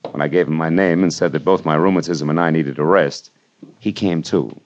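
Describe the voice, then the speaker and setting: Tough wisecracking detective, low voice, dry narration, dull old radio sound. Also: male voice, fairly quick. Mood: cool. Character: wisecracking tough male private eye, middle-aged. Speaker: hard-boiled private detective, narrator. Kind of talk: 1940s radio drama acting.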